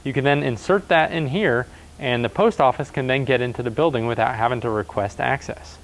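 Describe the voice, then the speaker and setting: Man explaining a product, medium pitch. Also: fairly quick. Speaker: product presenter for a door-access installer.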